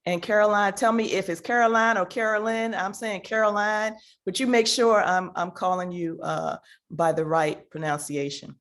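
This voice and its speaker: A woman hosting an online panel discussion, setting out context slowly with many pauses and fillers over a video call. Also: medium pitch, fairly even intonation, fairly bright tone. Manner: many fillers and restarts, measured. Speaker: panel host and moderator. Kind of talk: hesitant conversational moderating.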